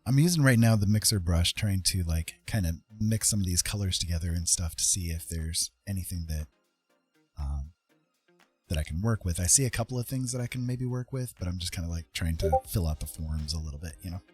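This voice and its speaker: A man in his fifties with a lowish, husky voice chats casually on a livestream as he draws. He is friendly and rambling, thinking out loud as he goes. Music plays softly behind him. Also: animated, fairly quick. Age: 50s. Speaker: art livestream host. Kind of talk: casual livestream chat while drawing.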